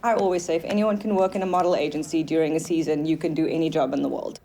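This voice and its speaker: Low mellow-voiced woman, agency booker, frank and matter of fact. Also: steady tone, fast talker, slight background noise. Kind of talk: documentary interview.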